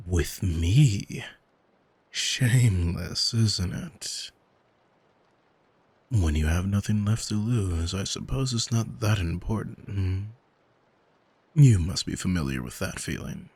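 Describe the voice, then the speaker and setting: An arrogant, seductive male demon played by a man in an ASMR audio drama. He speaks very slowly in a breathy, husky voice, condescending and teasing as he manipulates the listener. The sound is close and dry. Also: sits low, darkish tone, no room sound, heavily acted. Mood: languid, musing. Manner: languid, teasing, commanding, condescending. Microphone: close microphone, dry.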